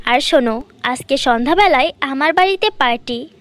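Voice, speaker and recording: A woman's voice: high-pitched, lively intonation, normal speed, a bit bright. A woman voicing a naive poor young girl in a cartoon fairy tale, earnest and girlish. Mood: delighted.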